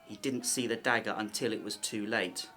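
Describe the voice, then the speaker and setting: A man's voice: on the low side, fairly even intonation, normal speed, pushed and bright, a little hiss or hum. A man playing an anxious, wary conspirator in an ancient Egyptian palace, in radio drama style. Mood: grim, fearful.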